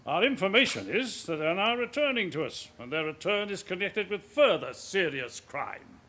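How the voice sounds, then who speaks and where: An actor playing a senior police officer delivering a formal, clipped, authoritative briefing in a radio drama. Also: male voice, high-pitched, very animated, normal speed, pushed and bright, exaggerated voice. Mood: grave, authoritative. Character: commanding male senior police officer briefing his men.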